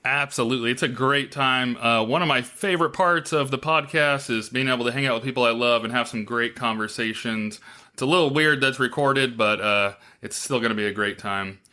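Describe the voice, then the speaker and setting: A man's voice: mid-range voice, fairly quick, bright, forceful tone. A man hosting an interview podcast in a casual, upbeat way, with plenty of filler words. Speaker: podcast host.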